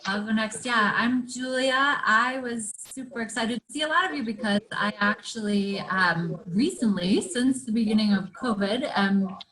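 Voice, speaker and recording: Bright-voiced woman on a video call. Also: fairly high pitch, moderate pace, few pauses, low-quality recording. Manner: upbeat, chatty. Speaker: program staff.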